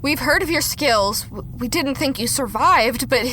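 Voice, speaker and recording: An actress playing a desperate, pleading mother in a sci-fi audio drama, halting and earnest. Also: sits high, expressive, pushed and bright, a little hiss or hum, full character voice. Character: desperate, pleading mother searching for her daughter.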